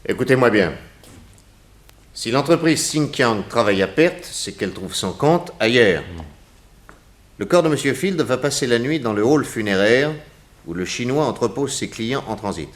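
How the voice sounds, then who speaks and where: An actor playing a shrewd, commanding private detective in a 1960s French radio drama, with an authoritative, incisive delivery. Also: male voice, mid-range voice, expressive, moderate pace, natural voice. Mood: calm, planning.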